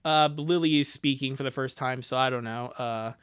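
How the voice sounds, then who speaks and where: A man's voice: mid-range voice, normal speed, a bit bright, clean tone. A man doing a casual, rambling, jokey livestream with commentary on what he reads. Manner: jokey, rambling, chuckling asides. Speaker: live streamer.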